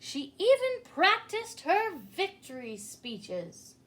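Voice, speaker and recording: A woman reading a children's picture book aloud as a story-time host, in an expressive manner. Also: very high-pitched. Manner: expressive, pausing to ask listeners questions. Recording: clearly noisy recording.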